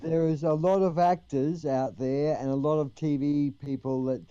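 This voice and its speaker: A man's voice: a little high, fairly even intonation, normal speed, dark tone, smooth, dry recording, highs cut off. An Australian man chatting casually with the other people on a livestream call. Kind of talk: casual chat.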